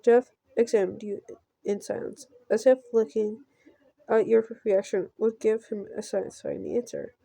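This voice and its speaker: A woman narrates a visual novel as a casual gameplay host. Her delivery is unhurried and halting, with a slight fry and offhand asides. The recording is very dry. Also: medium pitch, fairly even intonation, warm tone, no room sound. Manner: halting, stumbling read-aloud with offhand reactions. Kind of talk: casual let's play with read-aloud narration.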